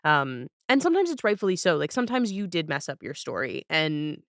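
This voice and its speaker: Smooth-voiced woman hosting a casual interview. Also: low voice, sing-song, brisk, a bit bright, no room sound, clean studio sound. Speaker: podcast host.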